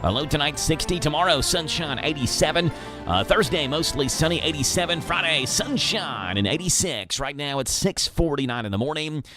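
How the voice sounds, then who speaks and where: A man's voice: fairly high pitch, lively intonation, normal speed. A man with a Kentucky accent hosting a morning radio show, reading ads and weather in playful, sing-song patter. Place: radio studio. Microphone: studio mic. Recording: radio broadcast with music bed.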